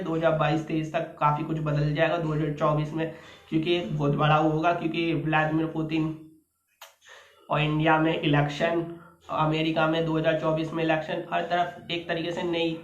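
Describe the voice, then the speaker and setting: A man giving an unscripted, opinionated political commentary monologue, rambling and addressing his listeners directly. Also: fairly high pitch. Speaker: host of a political commentary channel. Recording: echoey room, dull lo-fi microphone.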